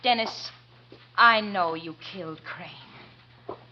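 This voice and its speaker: An American actress playing a scheming, outwardly tender femme fatale in a 1940s radio melodrama, halting, breathy and emotional. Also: female voice, on the low side. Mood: tearful, pleading. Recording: muffled, noisy vintage 1940s radio.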